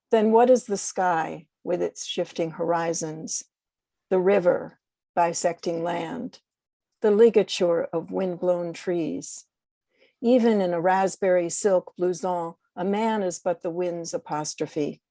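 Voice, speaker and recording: A mellow, creaky woman's voice with an American accent, set a little low. She is a poet guesting on a podcast, speaking in a literary, conversational way in a very dry recording. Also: dry recording. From United States. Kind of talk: conversational introduction and poetry reading.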